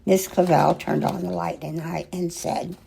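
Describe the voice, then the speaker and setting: An older woman reading a children's picture book aloud in an expressive, gentle way, pausing dramatically between short phrases, with a husky, gravelly voice. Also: fairly low pitch. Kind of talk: children's storybook read-aloud, slow and expressive.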